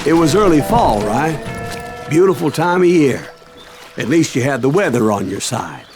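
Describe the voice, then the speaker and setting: Animated saloon patron, higher-voiced. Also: male voice, moderate pace, music in the background. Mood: chatty. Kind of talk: video game dialogue.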